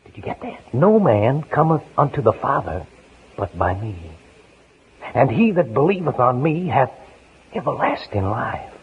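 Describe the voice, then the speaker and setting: A man's voice: mid-range voice, very animated, unhurried. An actor playing an earnest, fatherly ex-convict evangelist in a persuasive, plain-spoken 1950s radio style. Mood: earnest, urging. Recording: noisy, tinny 1950s radio broadcast.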